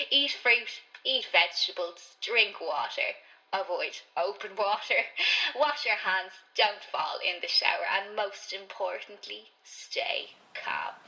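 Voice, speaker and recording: Actress as cheery Irish retirement club busybody, bright mid-pitched voice, officious reassurance, radio play voicemail. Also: female voice, heavily acted, low-quality recording. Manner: reassuring, listing advice briskly.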